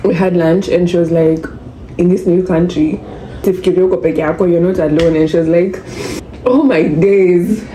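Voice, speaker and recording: A young Kenyan woman with a low, mellow voice talks in a chatty, easygoing vlog. She mixes English with Swahili slang. The recording sounds echoey, with a faint hiss behind her. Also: normal speed. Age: young adult. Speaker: vlogger. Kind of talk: casual storytelling vlog. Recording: echoey room with faint noise.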